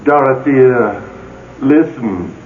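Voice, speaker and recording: An actor playing an ardent older gentleman ghost in a radio drama, insistently coaxing and wooing his love. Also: mid-range voice, extremely slow. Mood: pleading. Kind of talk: old-time radio drama acting. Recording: phone-like old radio broadcast, faint noise.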